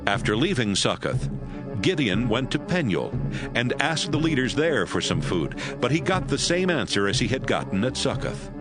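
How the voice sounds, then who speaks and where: A man's voice: mid-range voice, very animated, normal speed. A man narrating a dramatized audio Bible in a scripted storytelling style. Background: music.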